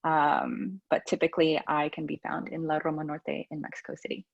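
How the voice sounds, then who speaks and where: A woman from Texas hosting a podcast, talking in a relaxed, chatty way with frequent ums and restarts. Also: deep voice, a little fast, creaky, slightly rough. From United States. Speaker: podcast host. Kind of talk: casual conversational interview. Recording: dull lo-fi audio.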